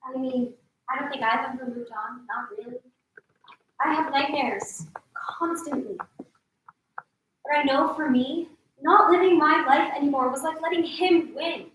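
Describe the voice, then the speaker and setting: A young actress in a staged reading playing an earnest, wounded, tender friend. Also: female voice, sits high, a little slow, long pauses, a bit bright. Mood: tender, subdued. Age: young adult. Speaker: actor. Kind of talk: staged play reading, naturalistic drama. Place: large echoey indoor room. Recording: echoey hall, distant mic, faint noise.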